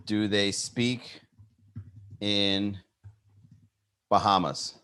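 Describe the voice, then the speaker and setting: Male comedy podcast host, bright forceful voice, animated riffing, some swearing. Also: on the low side, very slow, smooth. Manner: animated, swearing, rhetorical questions. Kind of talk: comedic riffing and lyric reading.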